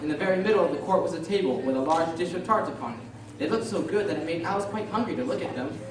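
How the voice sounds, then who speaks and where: A woman playing a composed, measured storybook narrator, reading scripted narration for a radio drama. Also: lowish voice, lively intonation, close to an everyday voice. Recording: very echoey room, distant mic, hissy noise.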